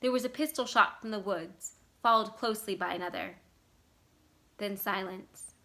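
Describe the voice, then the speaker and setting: A woman reads literary fiction aloud in a bright, forceful voice of medium pitch. It is scripted narration, delivered as a storyteller would. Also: moderate pace, creaky. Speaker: library staff member reading a story aloud.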